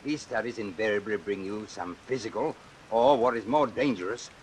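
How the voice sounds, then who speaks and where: An actor playing a worried, cautioning physician in a vintage radio drama, speaking in a formal, earnest, remonstrating way. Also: male voice, lowish voice, normal speed, lightly acted, low-quality recording. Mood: grave, skeptical. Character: worried, cautioning male physician, gravely disapproving. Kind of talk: old-time radio suspense drama acting. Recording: hissy vintage radio recording.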